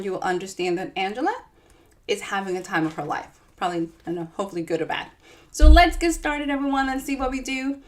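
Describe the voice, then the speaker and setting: A woman from the Dominican Republic chats casually and playfully as an author hosting a livestream storytime, in a bubbly, informal way. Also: on the high side. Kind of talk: casual chatty livestream talk.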